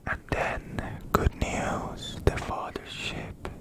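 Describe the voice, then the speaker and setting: A person whispering a bedtime story in ASMR style, with a breathy, unvoiced voice and a deliberate manner that softly repeats phrases.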